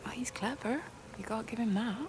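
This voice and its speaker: A woman's voice: a little high, brisk, background noise, lightly acted. An actress playing a stubborn, caring, down-to-earth village woman in a naturalistic video game drama. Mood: subdued, resolute.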